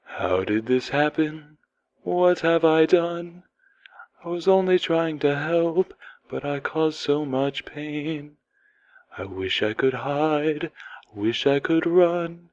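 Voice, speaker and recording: A man voicing a gentle, remorseful mare singer in an audiobook, soft, slow and solemn. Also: a little high, dry recording, highs cut off, pitched above the performer's own voice. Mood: solemn sorrow.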